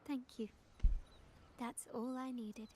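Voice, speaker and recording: An actress playing a composed, purposeful investigator in articulate, businesslike video game dialogue. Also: female voice, on the high side, little pitch movement, slow pace, darkish tone, slight background noise.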